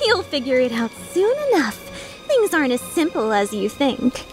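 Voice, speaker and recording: A woman's voice: high voice, very animated, moderate pace, soft background music. A woman voicing a playful, taunting young mercenary villain in a game fan dub, sardonic and mock-sincere. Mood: gleeful taunting.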